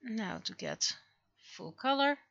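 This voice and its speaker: A woman's voice: a little high, huge pitch swings, slow, fairly bright tone, highs cut off. A woman casually thinking aloud in short fragments as she works on art during a livestream. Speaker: livestreamer, digital artist. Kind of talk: casual livestream commentary while drawing.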